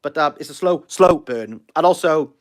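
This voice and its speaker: A man's voice: on the high side, animated, moderate pace. A Welsh man in his thirties hosting a casual livestream, rambling and self-deprecating as he answers viewer comments. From Wales. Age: 30s. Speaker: livestream host.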